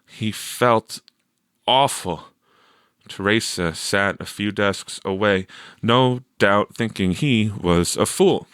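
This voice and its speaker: Unhurried, low-pitched man narrating, smooth and clear. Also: no echo, studio quality. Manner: measured, expressive storytelling. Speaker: audiobook narrator. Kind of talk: scripted story narration.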